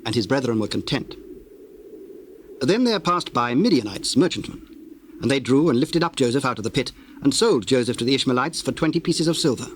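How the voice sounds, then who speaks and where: A man narrating scripture from a script in a solemn, measured, formal way. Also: mid-range voice, expressive, a little hiss or hum.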